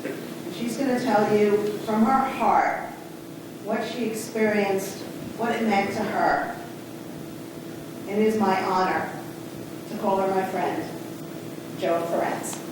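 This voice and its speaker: A woman's voice: mid-range voice, steady tone, slow pace, long pauses, noisy background. A woman from the host committee giving an earnest formal introduction of a guest speaker. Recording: distant voice in an echoey hall.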